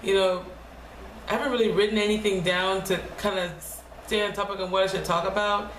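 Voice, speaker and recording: A young woman speaking quietly in a low, deep voice, talking haltingly and restarting her words often in a casual personal vlog at home. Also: steady tone. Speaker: vlogger. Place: home room. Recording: echoey room with faint noise.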